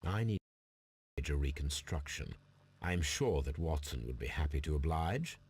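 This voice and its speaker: English gentleman detective, low voice, lively intonation, formal, coolly deductive, video game dialogue. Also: normal speed, noisy background. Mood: calm, purposeful. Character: brilliant, cool, precise gentleman detective.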